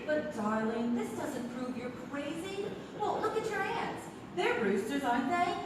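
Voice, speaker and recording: A woman's voice: high voice, animated, a little slow. A woman playing an indignant, lovestruck young fiancee in a comic stage play, dramatic and insistent. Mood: pleading. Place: small theatre, live performance. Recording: echoey hall from far off, noisy.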